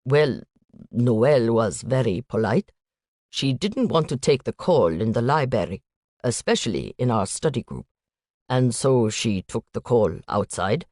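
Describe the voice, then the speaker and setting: A polite, soft-spoken young man with a questioning lilt, his voice dropped low, as a woman reads him. Dry, close audiobook recording. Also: expressive, unhurried, no echo, heavily acted. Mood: concerned.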